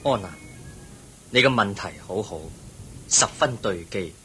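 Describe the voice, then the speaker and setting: An actor with a Hong Kong Cantonese accent playing the Buddha in a radio drama, a calm, wise teacher who explains things patiently and in measured phrases. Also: male voice, soft background music. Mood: patient teaching. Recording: noisy recording with low rumble.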